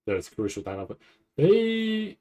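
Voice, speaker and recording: A man giving casual, off-the-cuff commentary on a video, thinking aloud in a halting way and often repeating himself. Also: high-pitched, sing-song, mellow. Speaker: anime reaction streamer.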